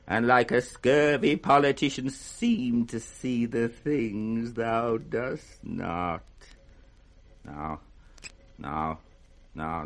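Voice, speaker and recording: An older British man playing a mad, grandiose old king in a classic radio Shakespeare drama, declaiming erratically between roars and hushed brooding. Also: mid-range voice, creaky, full character voice. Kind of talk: 1960s radio Shakespeare acting.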